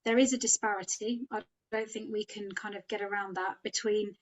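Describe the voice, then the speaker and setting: A woman's voice: mid-range voice, steady tone, a little fast, dull audio. A woman from Sri Lanka, a headache specialist, talking thoughtfully in a podcast discussion with frequent fillers. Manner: thoughtful, many fillers like "you know".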